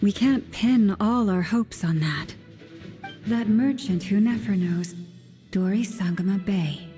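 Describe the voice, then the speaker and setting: A woman's voice: mid-range voice, slow, mellow, faint music behind, lightly acted. An actress voicing an earnest adult woman ally in a game, recounting lore and making plans.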